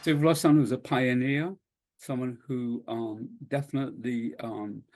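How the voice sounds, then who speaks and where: A man giving unscripted interview remarks, halting and searching for his words. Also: mid-range voice, fairly even intonation, a little mellow.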